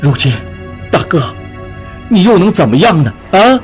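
A man's voice: high voice, sing-song, moderate pace, soft background music. A man voicing an anxious, agitated younger brother in a dramatized audiobook, exclaiming in exasperation. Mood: agitated, exasperated. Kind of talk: dramatized audiobook character acting.

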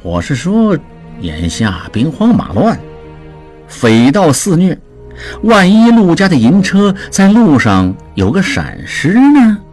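A man voicing a calm, scheming merchant in an audiobook, speaking in a smooth, slyly jovial, unhurried way. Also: on the high side, faint music behind, heavily acted. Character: sly, scheming male merchant, calm and calculating. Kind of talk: audiobook character voice.

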